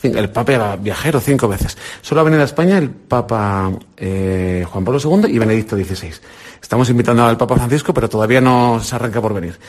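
A middle-aged man with a Spain Spanish accent, a radio presenter running an encouraging quiz with a children's group. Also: mid-range voice. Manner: encouraging, quizzing with quick questions. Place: indoor visit with a children's group.

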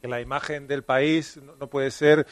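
A man's voice: mid-range voice, steady tone, a little slow, no room sound. A man in a radio studio chatting casually with the host, hesitating as he tells a story. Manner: conversational, hesitant. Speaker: studio commentator.

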